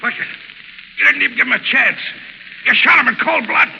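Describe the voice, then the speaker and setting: A man's voice: extremely high pitch, lively intonation, a little fast, gravelly, sharp tone, heavily acted. An actor playing a bitter, frightened, starving criminal henchman in melodramatic 1930s radio style. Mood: bitter, desperate. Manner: agitated, melodramatic. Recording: muffled, hissy, reverberant 1930s radio recording.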